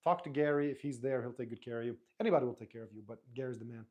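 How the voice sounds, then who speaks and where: A man whose first language is Arabic, hosting a live stream in a relaxed, rambling way, reading viewer comments aloud and answering them. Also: mid-range voice, very fast. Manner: relaxed, rambling, frequent restarts and fillers.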